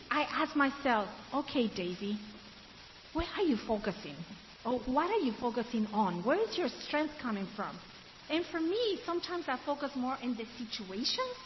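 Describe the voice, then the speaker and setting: A woman from Ecuador preaching to a room of women, asking them questions and then answering them, and slowing down to stress key words. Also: high-pitched. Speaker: women's ministry speaker. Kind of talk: sermon. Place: room with an audience. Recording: dull, hissy recording in a reverberant hall.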